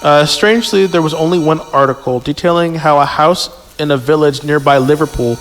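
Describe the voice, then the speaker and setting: A man's voice: a little high, a little fast, fairly bright tone. A man reading a horror story aloud as a podcast host, stumbling over words and dropping in jokey, casual asides. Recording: echoey hall sound with music.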